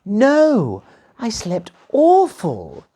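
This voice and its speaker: Storyteller doing a dainty princess, cooing, breathy, lively pitch. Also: extremely slow, heavily acted. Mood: aching, complaining. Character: dainty, delicate young princess.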